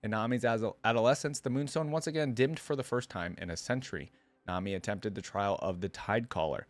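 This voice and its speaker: A man's voice: fairly low pitch, fairly quick, no room sound. A man hosting a reaction video, switching between casual, think-aloud commentary and reading text aloud.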